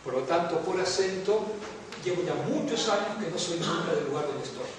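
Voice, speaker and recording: A man's voice: on the high side, animated, normal speed. An older man from the Canary Islands, speaking Spanish with a Canarian accent blended with Latin American habits, gives a reflective, rambling university lecture. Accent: Canarian Spanish with Latin American mixing. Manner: reflective, discursive, frequent fillers and asides. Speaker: economics professor giving a lecture. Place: large lecture hall. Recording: distant echoey hall with noise.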